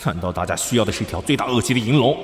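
A man's voice: on the low side, expressive, racing, natural voice. A man playing an anguished young commoner trapped in a tyrant prince's body, stammering and emotional in a costume audio drama. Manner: stammering, emotional, self-doubting. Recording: echoey hall reverb.

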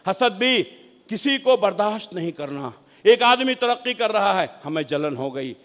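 High-pitched male preacher in echoing hall. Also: sing-song. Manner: emphatic, exhortatory, with rhetorical questions and repeated phrases. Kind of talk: Urdu Islamic sermon. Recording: muffled, long echoing hall reverb.

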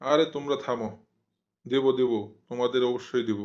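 A man's voice: mid-range voice, fairly even intonation, normal speed, a little mellow, muffled recording, close to an everyday voice. A man playing a village council member in a cartoon, with an authoritative, placating manner. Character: village council member, mild but easily offended man. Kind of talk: cartoon dialogue.

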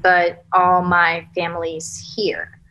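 A woman's voice: on the low side, monotone, slow pace, bright, forceful tone. A woman in her thirties acting an earnest, defensive girlfriend in a naturalistic table read over a video call. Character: earnest, defensive thirty-year-old girlfriend in a couple's spat. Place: small plain room, on video call.

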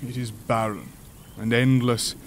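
An actor playing an imprisoned alien monster, gentle and philosophical, speaking in a formal, measured way for an audio drama. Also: male voice, medium pitch, steady tone, a little hiss or hum, natural voice. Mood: gentle, grave. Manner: formal, measured, stilted speech.

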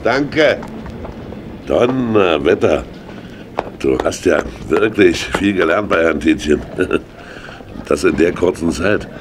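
A man's voice: deep voice, lively intonation, slow, long pauses, noisy background. A man playing a jovial, scheming, kindly uncle in a children's radio play, hearty and emphatic. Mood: hearty amazed. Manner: hearty, chuckling, emphatic with tag questions. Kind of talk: 1980 German children's radio play acting.

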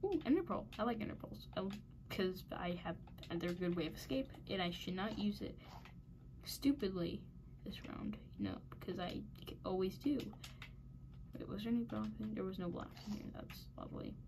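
A woman's voice: medium pitch, animated, moderate pace, noisy background. Self-mocking woman muttering over gameplay. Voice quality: raspy at times. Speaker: gaming channel host. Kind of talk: casual gameplay commentary.

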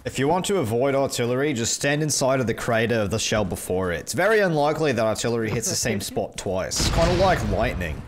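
A man's voice: mid-range voice, lively intonation, quick pace, few pauses. A man chatting casually while gaming, teasing his teammates in a mock-earnest way. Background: music, game gunfire.

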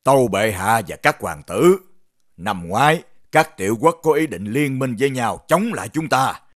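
An actor playing a formal, obsequious royal officer reporting to rulers in an animated fairy tale. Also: male voice, mid-range voice, moderate pace, lightly acted.

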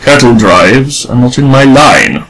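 A man voicing a calm, dry male painter who answers with cool, mock-reasonable sarcasm. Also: medium pitch, a little slow, husky, exaggerated voice, rough recording.

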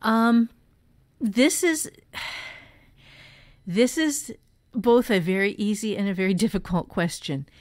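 A woman with a mid-pitched voice talks conversationally as a podcast co-host and writing teacher. She gives her advice bluntly and with emphasis. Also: slow.

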